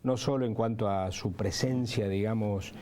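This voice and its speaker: A man's voice: lowish voice, fairly even intonation, normal speed, dark tone, a little hiss or hum. A man who works in radio, talking reflectively in an interview. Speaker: radio professional.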